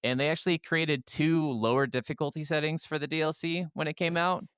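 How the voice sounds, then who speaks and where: Clear-voiced man chatting casually on a podcast. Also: a little high, steady tone, brisk, fairly bright tone, dry recording, studio quality. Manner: informal, peppered with swearing. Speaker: podcast co-host. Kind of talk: casual gaming podcast chat.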